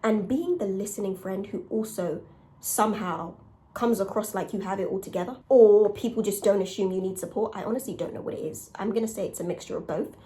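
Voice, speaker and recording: A young woman with a raspy voice, talking candidly and conversationally in a casual solo confessional, with emphatic points. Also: mid-range voice, brisk, mellow. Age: young adult. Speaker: podcast host and content creator.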